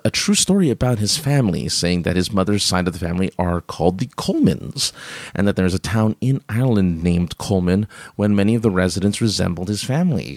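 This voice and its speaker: A man's voice: sits low, dry recording, studio quality. A man hosting a live stream, chatting casually and reading viewer comments, rambling with many fillers and restarts and laughing often.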